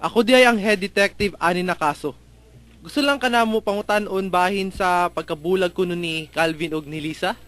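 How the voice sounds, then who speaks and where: A man acting high-voiced, insistent Filipino detective. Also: brisk, a bit bright, no room sound. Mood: businesslike, probing. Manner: insistent, questioning, authoritative. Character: stern, pressing male lead police detective. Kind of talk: melodramatic radio drama acting. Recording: music under the voice.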